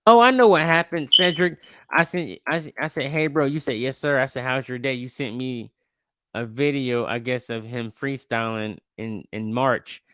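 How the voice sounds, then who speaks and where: A man's voice: mid-range voice, normal speed, sharp tone, smooth, no echo. Laid-back young man hosting a podcast. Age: 20s. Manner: laid-back, slangy, rambling with restarts. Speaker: podcast host. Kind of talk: casual interview chat.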